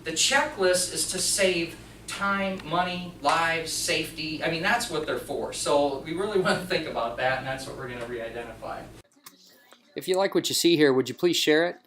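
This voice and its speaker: A man giving an informal instructional talk, telling anecdotes in an animated, conversational way as the host. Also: fairly high pitch, normal speed. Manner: animated storytelling, acting out dialogue. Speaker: speaker and channel host. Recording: roomy echo, distant mic, faint noise.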